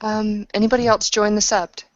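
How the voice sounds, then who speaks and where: A woman's voice: medium pitch, level tone, normal speed, slight background noise. A woman chatting in and out of character as a player in a tabletop roleplaying game. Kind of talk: casual in-character roleplay chat. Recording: dull, lo-fi audio.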